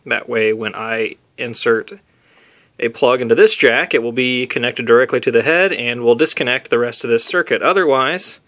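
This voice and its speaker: A man's voice: mid-range voice, moderate pace, sharp tone. A man talking casually through a hands-on tutorial, unscripted and lightly self-deprecating. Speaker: DIY video maker demonstrating an amp modification.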